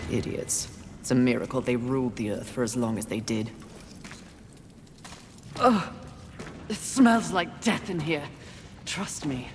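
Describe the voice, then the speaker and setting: A woman's voice: extremely low pitch, animated, a little slow, long pauses, a little hiss or hum. An actress playing a haughty, battle-hungry female horseman of the Apocalypse in a video game, speaking with imperious, sardonic disdain. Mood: scornful. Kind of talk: theatrical video game voice acting.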